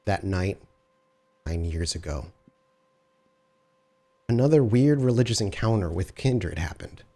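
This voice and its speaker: A man's voice: lowish voice, expressive, unhurried, warm tone. A man running a tabletop role-playing game as storyteller, narrating scenes and answering players, often restarting his sentences. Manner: descriptive, restarts mid-sentence.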